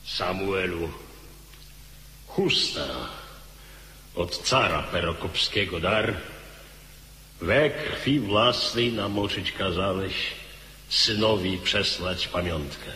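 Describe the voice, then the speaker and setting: An actor playing a stern, solemn military commander in a declamatory radio drama. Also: male voice, low voice, slow, long pauses, bright, forceful tone, heavily acted. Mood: solemn, pleading. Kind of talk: theatrical radio drama acting. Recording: echoey hall with long reverb.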